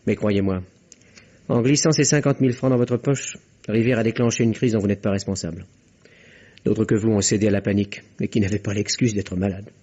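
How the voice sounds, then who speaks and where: Fast-talking shrewd investigator, played by a man, husky. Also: on the low side, fairly even intonation, mellow, lightly acted. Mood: firm, reassuring. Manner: explanatory, matter-of-fact, assured. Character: shrewd, composed male investigator explaining his deductions. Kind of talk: French radio mystery drama acting. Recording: muffled lo-fi vintage radio recording.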